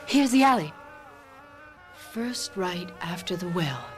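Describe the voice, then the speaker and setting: A woman's voice: fairly low pitch, expressive, slow. An actress playing a vain, determined mother eager for exclusive finds in a radio mystery drama. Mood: brisk, insistent. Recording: music behind a radio drama.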